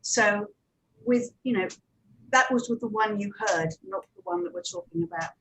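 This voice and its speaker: A woman speaking as a producer on a panel, explaining things step by step with hesitant pauses. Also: medium pitch, very animated, gravelly, fairly bright tone, slight background noise, rough recording. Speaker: audio drama producer and director, panelist.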